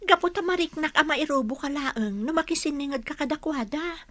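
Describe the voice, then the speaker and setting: A woman's voice: sits high, brisk, fairly bright tone, no echo. An actress playing a concerned young woman, a worried friend, pleading earnestly in a radio drama. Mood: pleading, hurt. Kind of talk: Ilocano radio drama acting.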